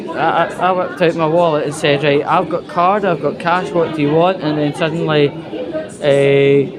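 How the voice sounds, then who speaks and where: Slow, higher-pitched Scottish man vlogging. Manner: hesitant, halting, conversational. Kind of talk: informal walking vlog monologue. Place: out and about on a walk.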